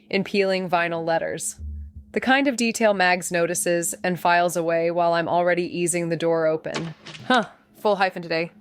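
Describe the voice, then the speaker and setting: An actress playing a reckless amateur sleuth who narrates her own noir story in a wry, deadpan, atmospheric way. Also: fairly low pitch, smooth, close to an everyday voice. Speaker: actor narrating a podcast drama. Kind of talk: first-person noir narration and dialogue.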